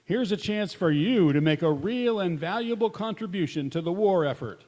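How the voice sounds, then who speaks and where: A man's voice: high-pitched, animated, normal speed, a little mellow. A man playing an earnest 1940s radio announcer delivering a wartime public appeal. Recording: echoey hall, long reverb.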